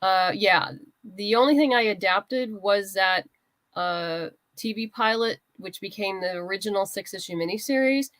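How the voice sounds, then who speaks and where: A woman's voice: mid-range voice, moderate pace, fairly bright tone, no echo. A woman speaking casually as a guest writer in an interview, explaining her creative process and acting out little asides.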